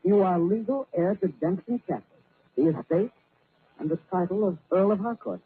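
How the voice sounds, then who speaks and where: A man's voice: high voice, moderate pace, breathy edge. An actor playing an earnest, ordinary husband who narrates his own tale in a 1950s radio drama. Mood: astonished. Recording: muffled, narrow-band 1950s radio recording.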